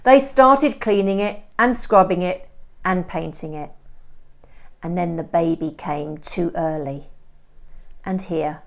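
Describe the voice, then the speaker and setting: A woman reads a story aloud as a teacher would, with expressive, clearly phrased delivery. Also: fairly low pitch, slow, pauses often. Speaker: English teacher.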